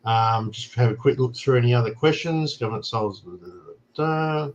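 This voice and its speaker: A man's voice: mid-range voice, a little fast, dull audio. An Australian man hosting a casual talk show, explaining things plainly and often checking in with "right?". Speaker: show host. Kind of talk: casual chat.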